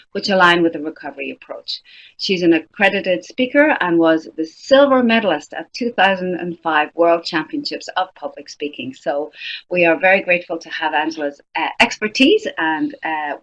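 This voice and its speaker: Woman host introducing the speaker, gushing, lots of ums, muffled lo-fi sound. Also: fairly low pitch. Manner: warm, effusive, with many fillers and restarts. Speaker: webinar moderator. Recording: dull lo-fi audio.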